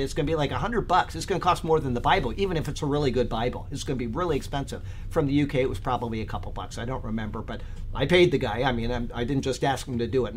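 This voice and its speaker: A man's voice: mid-range voice, slight background noise. A man teaching a Bible study in a loose, conversational way, rambling with frequent fillers and restarts. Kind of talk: casual Bible study talk.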